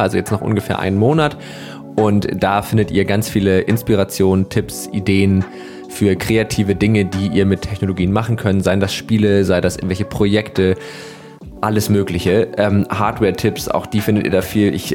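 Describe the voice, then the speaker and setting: A man from Germany, hosting a podcast in an enthusiastic, chatty way with lots of fillers. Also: on the low side. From northern Germany. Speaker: podcast host. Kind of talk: casual podcast chat.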